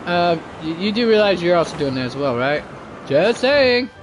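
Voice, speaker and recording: A man livestreaming a game with casual, halting, exclamatory commentary, teasing back at what happens. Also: high-pitched, sing-song, rough recording. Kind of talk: casual live gameplay commentary. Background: faint game music.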